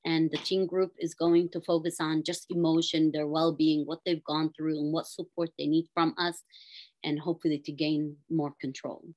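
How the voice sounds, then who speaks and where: A woman's voice: sits low, flat delivery, normal speed, a little mellow, rough recording. A woman with a friendly, slightly flustered manner, describing community programs. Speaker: program presenter.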